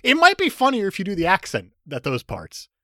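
A man co-hosting a casual movie podcast, teasing his partner with quick jokes and asides. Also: high voice, wildly swooping pitch, pushed and bright, dry recording, clean studio sound. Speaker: podcast co-host.

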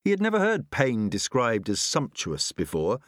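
A man's voice: medium pitch, huge pitch swings, moderate pace, fairly bright tone, no room sound, clean studio sound. A man reading a novel aloud as scripted audiobook narration.

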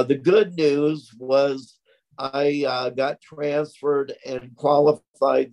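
A middle-aged man talks in an interview at a very unhurried pace. His voice is loud and projecting, and he over-articulates each word with care. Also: mid-range voice, rough recording. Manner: slow, deliberate, over-articulated speech. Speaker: interview guest, stroke survivor. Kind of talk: conversational interview.

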